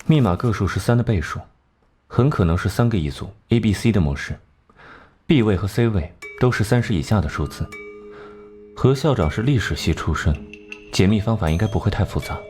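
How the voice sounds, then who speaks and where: An actor playing a cool, sharp-witted detective in an animated crime drama, composed and articulate as he explains his reasoning. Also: fairly low pitch, a little fast, soft background music, natural voice. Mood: analytical, calm.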